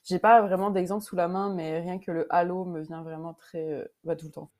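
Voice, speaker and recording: Mellow-voiced French woman, relaxed guest. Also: lowish voice, fairly quick. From France. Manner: relaxed, hesitant, many fillers and restarts. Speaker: guest author. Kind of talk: casual interview.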